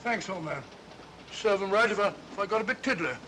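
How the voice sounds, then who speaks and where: Casting: self-important older English doctor, high voice, flustered stammering bluster, old film with faint hiss. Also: male voice, animated, pushed and bright. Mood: huffy. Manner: blustery, halting, repeats himself. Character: bumbling, genial, self-important older doctor, detective's loyal friend. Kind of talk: 1940s British mystery film acting. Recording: hissy old film soundtrack.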